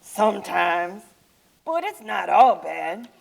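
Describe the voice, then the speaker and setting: Slow, gravelly yeti played by a woman for a children's puppet show: misunderstood, gentle, friendly loner. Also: medium pitch, big pitch swings, exaggerated voice, poor audio. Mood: gentle, wistful. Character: misunderstood, lonely, gentle male yeti living atop mountain.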